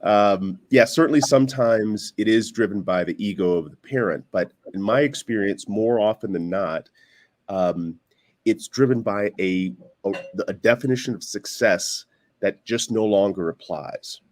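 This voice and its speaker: A man speaking as a guest on an online panel, explaining in a measured, conversational way, repeating words as he picks his phrasing. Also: fairly low pitch, no room sound, studio quality. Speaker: panel guest on parenting and education. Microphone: online video call, close dry sound.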